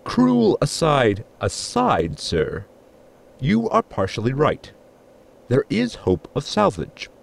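A man's voice: medium pitch, slow pace, creaky, heavily acted. A man playing a formal, drily witty, deferential spaceship crewman in a sci-fi comedy audio drama. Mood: matter-of-fact. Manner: deadpan, formal, wordy. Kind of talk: science fiction comedy audio drama acting.

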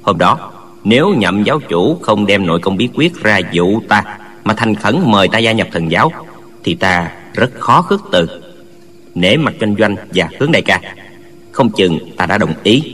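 A man playing a young swordsman and new sect leader in a martial arts audiobook, wry and dutiful, speaking in a measured, courteous way. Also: lowish voice, lively intonation, a bit bright, slightly rough. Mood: brooding inner thought.

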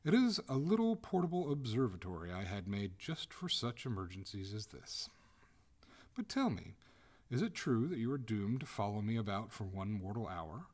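Young gentleman villain for a storybook male reader, impeccably polite, cool, firm, with lively intonation. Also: fairly low pitch, normal speed, voice pushed up. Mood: genial, polite. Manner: formal, suavely polite, firm. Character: courteous, coolly merciless young gentleman, master of the house.

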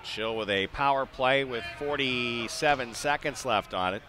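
A man's voice: mid-range voice, measured pace. A man doing live play-by-play hockey commentary in clipped phrases with chatty asides. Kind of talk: live radio-style sports commentary. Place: indoor ice arena.

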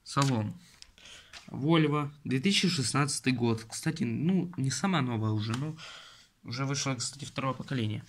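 A man's voice: medium pitch, normal speed, slight background noise. A man casually reviewing a car magazine at home, rambling, grumbling and talking to his viewers. He records himself on a phone held close over his desk.

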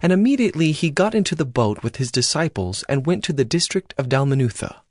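A man's voice: a little high, very animated, fast, a little mellow, no room sound, studio quality. A man narrating a dramatized scripture reading as a scripted narrator.

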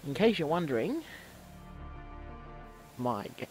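A man's voice: fairly high pitch, very animated, slow pace, a little mellow, soft background music. A man with a stuffy, snuffly voice from a cold gives casual commentary on his own video game match. He often rambles and stops to correct himself. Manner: rambling, self-correcting, restarts words.

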